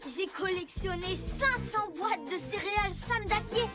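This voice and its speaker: A woman voicing an excitable, heroic young boy motorbike in a French children's cartoon, eager and exclamatory. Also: extremely high pitch, a little slow. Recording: loud music behind the voice.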